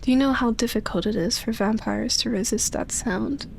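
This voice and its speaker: A woman voicing an ancient, lonely and seductive female vampire in an intimate ASMR roleplay, soft and breathy, with hesitant, tender delivery. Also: a little high, slight background noise. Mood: awed, hesitant. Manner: slow, hesitant, sighing delivery with long pauses.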